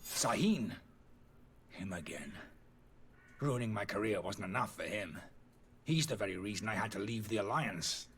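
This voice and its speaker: An actor playing a disgraced, indignant alchemist in a fantasy video game, pleading and justifying himself theatrically in a slightly husky voice. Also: male voice, lowish voice, expressive, moderate pace, pauses often, fairly bright tone, a little hiss or hum. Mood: bitter, exasperated. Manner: agitated, defensive, theatrical delivery.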